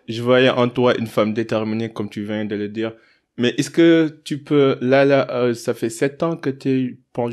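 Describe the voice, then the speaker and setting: A man hosting a podcast, chatting in a relaxed way with frequent fillers and laughs. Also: medium pitch. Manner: relaxed, laughs often, many fillers and restarts. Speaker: podcast host. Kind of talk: casual interview.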